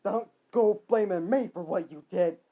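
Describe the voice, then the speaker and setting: A storyteller voicing hot-tempered skeleton henchmen who bicker and shout indignantly. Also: medium pitch, measured pace, muffled recording.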